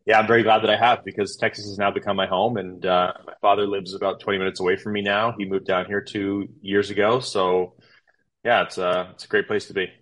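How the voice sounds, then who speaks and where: A man's voice: on the low side, flat delivery, brisk, a bit bright, low-quality recording. A man in his thirties, a podcast guest telling his story in a casual, rambling way with lots of fillers and restarts. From Canada.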